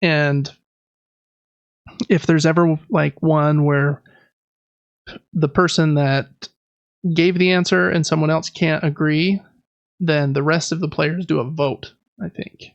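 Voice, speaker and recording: A man in his thirties chats as a podcast co-host in an easy, explanatory way. His voice sits a little high with a creaky edge. The sound is dry and somewhat muffled. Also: steady tone, slow, pauses often, no room sound. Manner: conversational, explanatory. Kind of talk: casual chat about board games.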